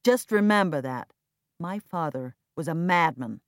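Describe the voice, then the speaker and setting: A woman's voice: sits low, expressive, a little slow, no echo, studio quality. Woman voicing a cold, bitter, sardonic male heir. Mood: grudging, bitter. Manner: detached, sardonic, dismissive. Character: cold, flippant, bitter heir, adult son.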